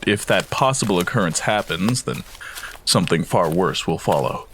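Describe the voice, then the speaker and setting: A man acts a godly prophet from a fantasy audio drama. His voice sits deep, and he talks with composed, solemn foreboding. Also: animated, fairly bright tone, slight background noise. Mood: calm, foreboding. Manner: measured, solemn. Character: calm, wise, foreboding seer, male, son of a god.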